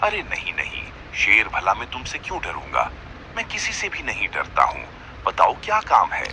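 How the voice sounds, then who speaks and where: A man's voice: lowish voice, huge pitch swings, normal speed, bright, forceful tone, a little hiss or hum, pitched below the performer's own voice, full character voice. A man voicing a bitter, scolding bear, a wronged father, in a cartoon animal fable. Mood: putting on courage. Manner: scolding, defiant.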